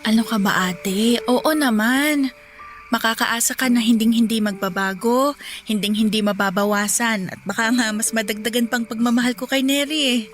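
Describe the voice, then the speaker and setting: Woman playing devoted younger sister, higher-pitched voice, chatty and reassuring, radio drama dialogue. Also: moderate pace, soft background music, close to an everyday voice. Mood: earnest, devoted. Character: affectionate younger sister, a devoted doting aunt.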